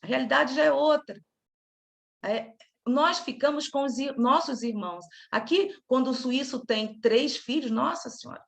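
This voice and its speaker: A woman from Rio de Janeiro with a Carioca accent, leading a casual study group discussion in an animated, chatty way, often breaking off and restarting. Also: sits high, moderate pace, creaky. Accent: Carioca Brazilian Portuguese. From Rio de Janeiro, Brazil. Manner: animated, chatty, self-interrupting.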